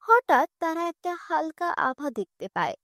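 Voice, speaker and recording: High-voiced woman narrating a fairy tale. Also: big pitch swings, normal speed, fairly bright tone, no echo, clean studio sound. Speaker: storyteller. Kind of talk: scripted fairy-tale narration for children's cartoon.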